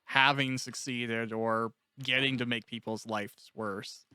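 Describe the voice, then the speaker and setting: Clear-voiced man interviewing casually, very dry sound. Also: mid-range voice, steady tone, slow, bright, forceful tone, no room sound. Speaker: interviewer, director.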